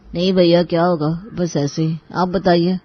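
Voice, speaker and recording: A man's voice: sits high, level tone, normal speed, highs cut off, close to an everyday voice. A man voicing a meek, miserable young husband and younger brother in a Hindi cartoon, deferential and plaintive. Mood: evasive. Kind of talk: dramatic Hindi cartoon dialogue.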